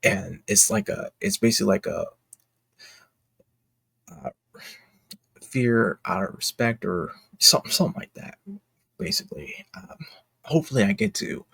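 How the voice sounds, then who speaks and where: A man giving casual behind-the-scenes commentary as an indie creator, talking in a halting, rambling, enthusiastic way. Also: lowish voice, gravelly, a bit bright, breathy edge, dry recording. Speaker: indie comic creator and video host.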